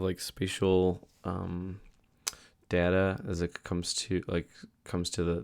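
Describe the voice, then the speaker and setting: Very deep-voiced man, hoarse from a cold. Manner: rambling with many fillers and restarts. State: losing his voice, a little sick. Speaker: vlogger. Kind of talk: casual daily video diary.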